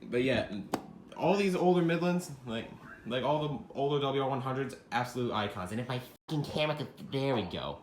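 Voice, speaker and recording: A man casually showing his radio collection on video, rambling and self-deprecating, swearing freely and sometimes breaking into shouts. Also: medium pitch, lively intonation, a little fast. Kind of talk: casual show-and-tell vlog. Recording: echoey room with background noise.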